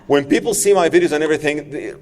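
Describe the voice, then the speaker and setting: A man's voice: on the high side, quick pace, a little mellow, long reverb tail. A man, telling his personal story to an audience in an earnest, emphatic way, repeating words for stress. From Saudi Arabia. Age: 40s. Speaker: public speaker.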